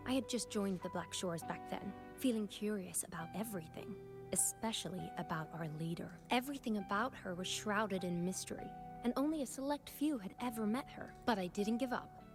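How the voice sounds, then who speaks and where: An actress plays an inquisitive female researcher in a video game, telling her findings thoughtfully. The voice is medium in pitch, with music clearly audible behind it. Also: a little fast, close to an everyday voice. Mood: intrigued, thoughtful. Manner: thoughtful storytelling. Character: curious, persistent female researcher recounting old mysteries.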